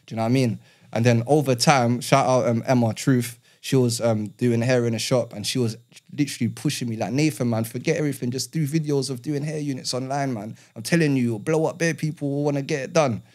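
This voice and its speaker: A man's voice: mid-range voice, fairly even intonation, fast talker, darkish tone. A man, a barber, telling his story in casual podcast chat in a studio, chatty with lots of fillers. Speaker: barber, guest.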